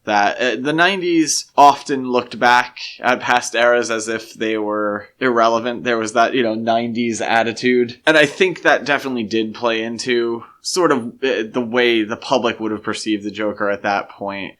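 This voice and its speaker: A man speaks as a podcast co-host in a casual film discussion. His pitch sits in the middle range for a man. He explains his points analytically and in a measured, conversational way. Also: fairly bright tone.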